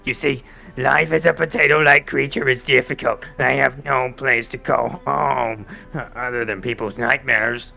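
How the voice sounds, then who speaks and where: A man voicing an awkward, bashful potato monster in a comic game, coy and halting as he pleads. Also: mid-range voice, steady tone, creaky, sharp tone. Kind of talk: comic visual novel voice acting.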